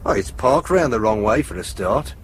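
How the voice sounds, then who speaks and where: A frank, easygoing British police sidekick played by a man in a radio drama. He is casual and wry with very lively intonation, over faint vehicle noise. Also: lowish voice, moderate pace, natural voice. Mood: sly, wry. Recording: noisy ambience with vehicle sound.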